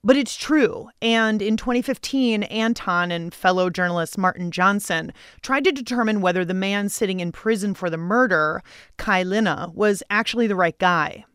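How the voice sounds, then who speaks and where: A woman's voice: mid-range voice, normal speed, a bit bright, smooth, dry recording, studio quality. A woman with an American accent hosting a radio show, reading scripted narration in a playful, conversational way. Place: dry studio.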